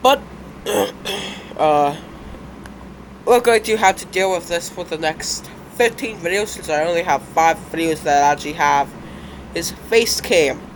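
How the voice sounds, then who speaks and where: A young man with a stuffed-up, sick-sounding voice, rambling casually as he reacts to videos at his computer. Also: a little high. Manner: rambling, halting, uneven loudness. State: stuffed up from a cold. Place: indoors at a computer. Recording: noisy home recording.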